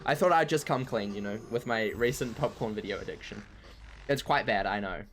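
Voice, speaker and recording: A man with a croaky voice chatting casually while streaming a game, rambling and poking fun at himself. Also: fairly low pitch, fairly quick, fairly bright tone, faint music behind. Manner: rambling and self-mocking, laughs easily. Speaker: streamer playing an online game. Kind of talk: casual chat while gaming.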